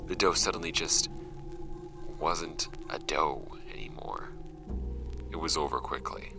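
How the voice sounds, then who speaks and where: A man as a very deep, haunted investigator. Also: over music, exaggerated voice. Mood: haunted, grave. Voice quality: very low, gravelly, often breathy at phrase ends. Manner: slow-burning, grave, deliberate. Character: shaken male paranormal investigator reading his grim field log. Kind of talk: scripted horror audio drama monologue.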